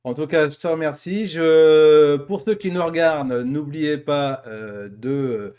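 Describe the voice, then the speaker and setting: A man's voice: a little high, slow, darkish tone. A man hosting a casual online interview show, speaking in a hesitant, rambling way and repeating his phrases. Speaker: host.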